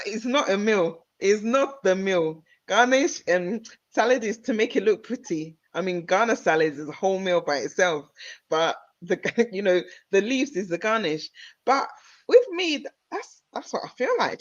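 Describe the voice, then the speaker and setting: A woman's voice: medium pitch, animated, normal speed, dry recording. A woman who grew up in the UK, hosting a casual interview over a video call. She chats loosely, laughs often, and uses many fillers and restarts. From United Kingdom. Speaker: host. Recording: dull, dry video call audio.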